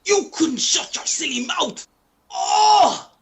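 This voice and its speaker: A performer in a comic radio play as an exasperated, injured Irish man, loud and wailing with indignation. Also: very high-pitched, lively intonation, takes it slowly, exaggerated voice. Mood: angry.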